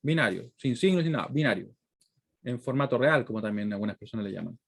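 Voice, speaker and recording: A man's voice: mid-range voice, lively intonation, fast, creaky. A man with a Chilean accent giving an explanatory lecture to students, working through examples step by step and often checking in with short questions. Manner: didactic, frequent check-in questions. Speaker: university lecturer.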